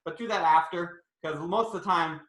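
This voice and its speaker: A science presenter with a bright, forward voice gives a casual live demonstration for kids. The delivery is chatty and playful, and the room adds noticeable echo. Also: medium pitch, normal speed. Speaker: science show presenter for children. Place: indoor room, live online video call. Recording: roomy echo.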